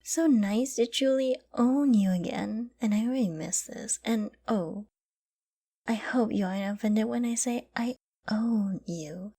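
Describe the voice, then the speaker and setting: A woman voicing a possessive, lovestruck, dominant fox-girl mage in an intimate ASMR roleplay, speaking softly and often dropping to a whisper. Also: fairly high pitch. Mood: pleased, tender. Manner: soft, slow, breathy, often dropping to a whisper.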